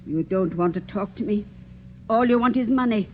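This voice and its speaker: An actress playing an older rich spinster aunt who scolds imperiously, in 1940s radio acting style. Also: female voice, medium pitch, moderate pace, a little hiss or hum, close to an everyday voice. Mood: stern. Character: old rich spinster aunt, stern and scornful. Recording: tinny phone-like old radio recording.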